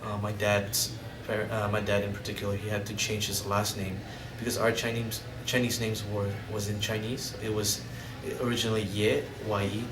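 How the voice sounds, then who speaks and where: A young man from Indonesia talks through his life story in a hesitant, searching way, stopping and starting as he finds his words. His voice sits a little low and reaches the mic from some distance in an echoey room. A steady background hum runs under everything he says. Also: moderate pace, hardly any pauses. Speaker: interviewee. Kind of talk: personal storytelling interview. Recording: roomy, distant mic, ambient noise.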